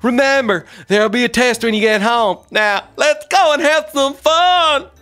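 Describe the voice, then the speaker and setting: A woman voicing a patient school teacher leading a class trip in a game roleplay, speaking slowly and giving orderly instructions. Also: on the high side, a bit bright, faint music behind, voice pushed down. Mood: instructive.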